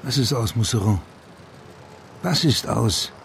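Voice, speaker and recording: An actor playing a weary, discreet police official who investigates and narrates in a German radio crime drama. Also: male voice, medium pitch, animated, unhurried, vocal fry, slight background noise. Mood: probing, uneasy.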